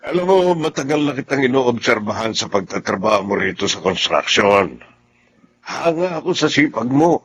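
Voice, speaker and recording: Hearty older boss in a radio drama, very swoopy pitch, faint noise behind. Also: male voice, very animated, moderate pace, fairly bright tone. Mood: hearty, approving. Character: friendly, encouraging older male architect boss. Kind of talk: Tagalog radio melodrama acting.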